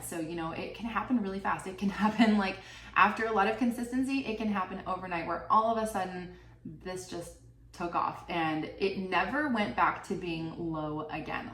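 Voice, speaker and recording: A woman's voice: fairly low pitch, a bit bright. A young woman vlogging casually about her channel earnings, chatty and full of "like", sounding stuffed up from a cold. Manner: chatty, peppered with "like" and "you know". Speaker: YouTuber sharing channel earnings. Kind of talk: casual talking-head vlog. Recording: roomy, slightly distant mic, faint background hiss.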